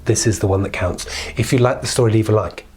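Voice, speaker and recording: A man's voice: on the low side, fast, a little mellow, slightly rough. Playful man telling children a fairy tale. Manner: playful, conversational, with emphatic repetition. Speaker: children's storyteller. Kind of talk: informal, improvised fairy-tale storytelling.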